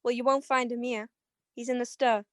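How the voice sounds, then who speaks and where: A woman's voice: on the high side, flat delivery, normal speed, no room sound, studio quality, natural voice. A woman playing a guarded gambling-joint henchman in a radio crime drama, terse, slangy and dismissive. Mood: brusque, dismissive.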